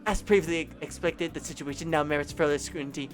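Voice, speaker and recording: A man's voice: a little high, steady tone, fast, soft background music, natural voice. Man reading a tiny, fiery, pompous boss girl. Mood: stern. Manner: stiff, formal, condescending wording. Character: tiny, furious, pompous young female superior.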